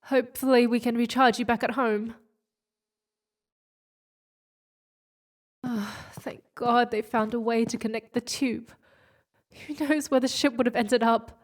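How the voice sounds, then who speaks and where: A woman playing an earnest, shaken space explorer in a sci-fi horror audio drama, halting and emotional. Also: a little high, steady tone, natural voice. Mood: anxious, relieved.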